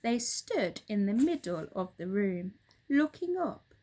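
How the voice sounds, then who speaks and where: A woman reading a children's story aloud expressively, with dramatic, deliberate phrasing. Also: mid-range voice, very animated, darkish tone.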